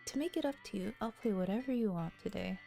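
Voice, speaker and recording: A woman voices a clever young boy who speaks gently and politely. His voice sits a little lower and warm. Faint music plays behind him. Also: quick pace, vocal fry, natural voice. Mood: gentle. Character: clever bookish boy, gentle older brother.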